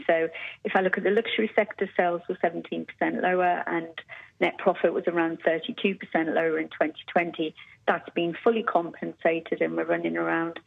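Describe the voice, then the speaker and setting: A woman's voice: lowish voice, gravelly. A woman analyst answering interview questions in an analytical way, full of figures and with frequent "um"s, over the phone. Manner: analytical, numbers-heavy, with "um"s. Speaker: senior luxury analyst, guest. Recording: tinny phone line.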